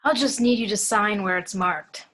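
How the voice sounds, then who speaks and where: A woman's voice: mid-range voice, normal speed, a bit bright, natural voice. A woman at a home table read playing a kindly, nervous man in his seventies who runs a funeral home, polite and hesitant. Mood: apologetic, polite. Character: kindly, nervous, hushed 73-year-old male funeral director.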